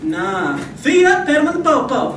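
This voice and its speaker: Casting: actress as cheerfully dim cowboy sidekick, high-pitched swagger, comic radio play, echoey live sound. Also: female voice, very animated, normal speed. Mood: boastful. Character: goofy, boastful male deputy cowpoke, cheerfully dim. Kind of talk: comic old-time radio acting. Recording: distant, echoey, noisy live stage recording.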